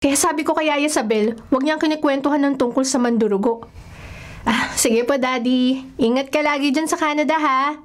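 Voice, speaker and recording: A woman's voice: high voice, normal speed, lightly acted. A woman playing a confident, dismissive older sister in a radio horror drama, with a chatty, slightly bossy delivery. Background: background music.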